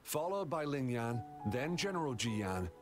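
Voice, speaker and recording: An actor playing an eager, animated young male racer in a game. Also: medium pitch, unhurried. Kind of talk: game voice acting. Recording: game dialogue with music underneath.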